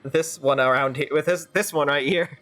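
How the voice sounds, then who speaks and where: A woman's voice: low voice, normal speed, fairly bright tone, soft background music. A woman streaming a game with improvised in-character banter, dry and sarcastic.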